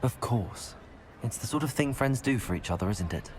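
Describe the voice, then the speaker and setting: A man's voice: mid-range voice, animated, normal speed, slight background noise, lightly acted. A man playing a friendly, easygoing teenage student wizard in a video game, light and conversational. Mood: warm, casual.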